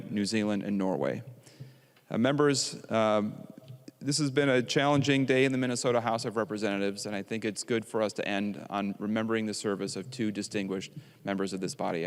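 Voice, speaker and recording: An American man, a legislator, gives a formal memorial tribute in a measured, halting way. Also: fairly low pitch, steady tone. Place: large legislative chamber. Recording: reverberant large chamber hall.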